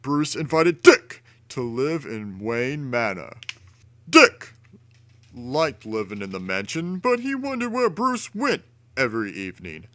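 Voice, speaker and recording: A man's voice: mid-range voice, very animated, measured pace, fairly bright tone, dry recording. A man giving a theatrical, over-emphatic dramatic reading of a children's storybook. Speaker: storybook reader.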